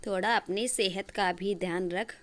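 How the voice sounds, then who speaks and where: A woman's voice: mid-range voice, steady tone, moderate pace, natural voice. A woman voicing a bossy, scolding middle-aged mother-in-law in a story cartoon, nagging and giving orders. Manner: nagging, commanding.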